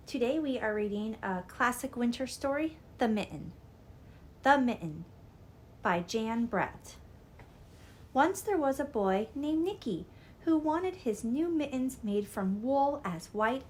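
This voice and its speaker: A woman reading a children's storybook aloud in an expressive, deliberate storytelling style. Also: a little high, a little hiss or hum. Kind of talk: scripted storybook read-aloud for young children.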